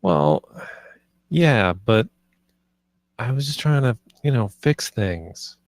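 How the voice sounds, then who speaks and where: A man's voice: mid-range voice, very animated, takes it slowly, no echo. A British man reading the part of a nervous, earnest husband in a film script, with a hesitant, understated delivery. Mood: hesitant, anxious. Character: nervous, earnest husband proposing swinging to his wife. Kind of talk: film script table read, naturalistic dialogue.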